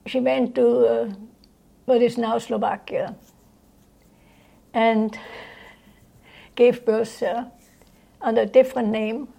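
An elderly woman from Austria recalling her life at home in an unscripted way, halting as she searches for words. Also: on the high side, level tone, gravelly, dark tone, rough recording. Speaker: interviewee recounting life history. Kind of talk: unscripted reminiscence. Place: indoors in own home.

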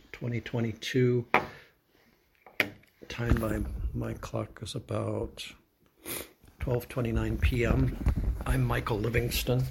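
A man conducting an oral history interview, asking measured, polite questions with some hesitation. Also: medium pitch, creaky, very noisy. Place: old building, a former dormitory.

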